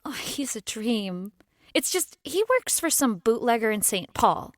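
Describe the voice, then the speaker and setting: An actress playing a flustered, defiant young society daughter in a 1920s period audio drama. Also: female voice, a little high, sing-song, measured pace, dry recording. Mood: conspiratorial, resentful. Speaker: actor.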